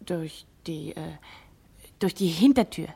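Nervous young maid in a radio crime play, lowish voice, hesitant and defensive. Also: female voice, expressive, slow, creaky, warm tone, breathy edge, full character voice. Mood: nervous, evasive. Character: young newlywed former housemaid, meek, evasive, nervous.

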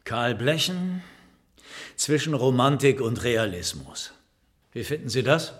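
Opinionated West Berlin curator, irritable and brooding, mid-range voice, clipped repeated phrases, naturalistic radio drama. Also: male voice, mid-range voice, expressive, slow. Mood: sceptical, amused.

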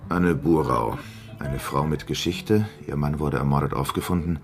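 An actor in a radio drama playing a male reporter who reads out a sober, measured, declamatory exposé. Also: a bass voice. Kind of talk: scripted narration in a radio drama.

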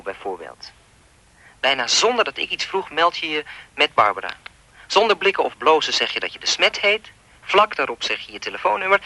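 A man's voice: fairly high pitch, bright, forceful tone, no room sound. An actor playing a creepy, insistent anonymous caller in a radio play, needling and insinuating as he speaks.

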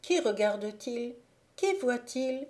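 A woman's voice: on the high side, lively intonation, slow pace, a little mellow, voice pushed up. A woman voicing a young boy who sobs and pleads urgently beside a sick friend's bed. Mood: distraught. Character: anxious sobbing young boy at a sickbed.

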